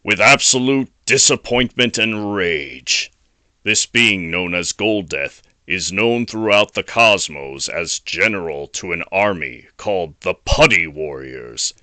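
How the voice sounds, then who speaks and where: A male narrator with a low-pitched voice and a sharp, forceful edge. He reads a scripted adventure story in a dramatic style. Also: dry recording.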